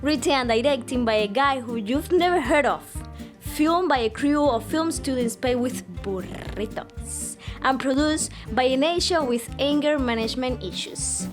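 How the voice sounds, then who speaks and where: A woman's voice: high-pitched, unhurried. A woman with a Cuban accent narrating a comic movie trailer in a mock-grand announcer style. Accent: Cuban Spanish. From Cuba. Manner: mock-grand, over-the-top announcer delivery. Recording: music bed under the voice.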